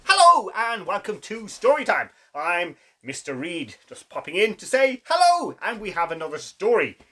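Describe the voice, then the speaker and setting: Male kids' story host, lively swooping delivery, slightly raspy tone, theatrical pauses. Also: high voice. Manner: exclamatory, theatrical, with dramatic pauses and gasps. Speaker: children's story reader, show host. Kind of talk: animated children's storytelling.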